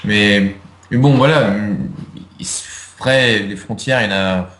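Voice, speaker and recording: A man's voice: lowish voice, measured pace, fairly bright tone. Young guy chatting in an interview, lots of ums and restarts, roomy echo. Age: young adult. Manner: hesitant, many fillers and restarts. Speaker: interviewee, video creator. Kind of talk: casual interview chat.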